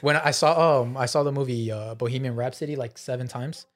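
A man hosting a podcast in casual, sweary, excitable storytelling style with bits of Spanish slang. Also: medium pitch, fairly quick. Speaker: podcast host. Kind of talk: casual, profane podcast banter.

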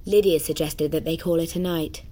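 A woman narrating a scripted literary story with a husky voice. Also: low voice, fairly even intonation, moderate pace, warm tone, a little hiss or hum.

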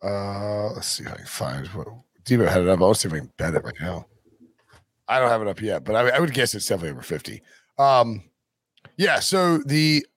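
A man with a raspy, worn voice talks through football scores and point spreads as a podcast co-host. The sound is dry and close, with no room around it. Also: fairly high pitch, fairly bright tone, no echo. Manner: rattles off scores and betting lines. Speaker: podcast co-host, sports betting analyst. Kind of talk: casual sports podcast chat.

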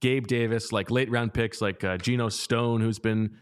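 A man's voice: on the low side, steady tone, moderate pace, clean tone. A man co-hosting a sports podcast, talking conversationally with plenty of fillers and pushing back on his co-host. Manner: conversational, lots of fillers, sharp pushback. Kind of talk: casual sports chat.

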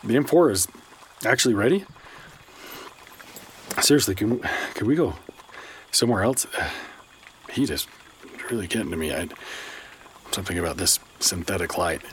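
A man's voice: lowish voice, lively intonation, normal speed, pauses often, slight background noise, natural voice. A man playing a principled, skeptical son in a science fiction audio drama, confrontational yet uneasy and worn down. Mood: faltering, uncomfortable.

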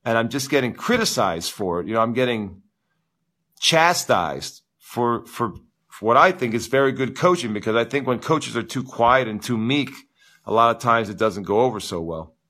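A man hosting a live viewer Q&A as a tennis coach, with a big booming voice and an animated, ranting delivery full of repeats and "you know". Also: moderate pace. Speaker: tennis coach, show host. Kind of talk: live-stream chat with viewers.

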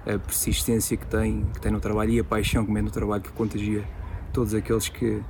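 A man, an assistant coach giving a formal recorded tribute with hesitant pauses. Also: fairly low pitch, monotone, a little mellow, slight background noise.

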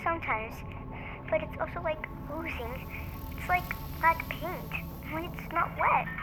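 A woman's voice: very high voice, a little slow, poor audio. A woman playing a frightened little girl calling an emergency line in an audio drama. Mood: scared, breathless urgency. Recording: loud music under the voice. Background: loud dramatic music.

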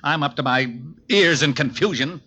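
An actor playing a long-suffering, irritable police lieutenant in an old-time radio drama, barking in exasperation. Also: male voice, fairly high pitch, moderate pace, bright, forceful tone. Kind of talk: old-time radio comedy-detective acting. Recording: noisy vintage 1940s radio recording.

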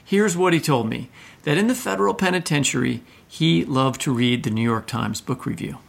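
A man co-hosting a podcast in a casual, animated, informal storytelling way. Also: mid-range voice, normal speed, gravelly. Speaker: podcast co-host. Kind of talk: casual literary podcast conversation.

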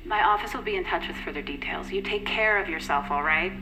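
An actress playing a composed institute official in an audio drama, measured and reassuring while quietly in control. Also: female voice, on the low side, over music, natural voice, rough recording. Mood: soothing, coaxing.

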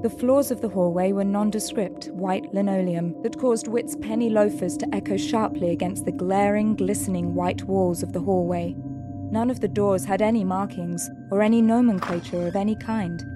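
A woman narrating a scripted audio drama in a measured, descriptive storytelling manner. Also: mid-range voice, steady tone, over music.

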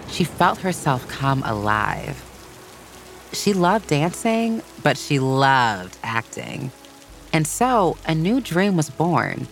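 A woman with a very deep voice narrates a scripted story for children. Music plays clearly behind her as she reads.

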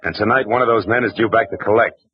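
A man's voice: lowish voice, fairly even intonation, fast talker, husky. A man narrating as a hard-boiled private detective, wry and clipped, in 1940s radio acting. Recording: hissy, dull old radio broadcast.

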